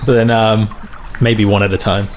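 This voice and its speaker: A man's voice: lowish voice, level tone, a little slow, slight background noise. A man playing a tabletop game, chatty and giggly. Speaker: player. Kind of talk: casual tabletop game play.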